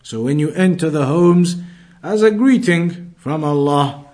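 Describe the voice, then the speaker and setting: A man teaching an Islamic lesson, explaining in a deliberate way and repeating his points. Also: fairly high pitch, animated, darkish tone. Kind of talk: religious lesson, reading Arabic then explaining.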